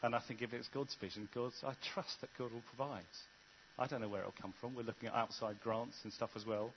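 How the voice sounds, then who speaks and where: A man's voice: medium pitch, steady tone, fast, highs cut off, slight background noise. A man preaching a sermon in an earnest, conversational way, often restarting his sentences. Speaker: vicar.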